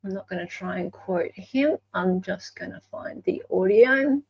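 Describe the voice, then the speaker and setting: A woman's voice: medium pitch, measured pace, vocal fry, a little mellow, slightly rough, no room sound, highs cut off. An Australian woman hosting a livestream with casual, unscripted commentary, often searching for her words. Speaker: livestream host.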